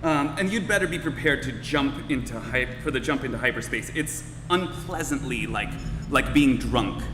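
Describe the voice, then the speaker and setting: A man's voice: medium pitch, moderate pace, over music, lightly acted. A man playing a breezy, offhand alien hitchhiker in a live radio-style comedy play. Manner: offhand, animated. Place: live stage performance in a hall. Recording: echoey hall, noisy live ambience.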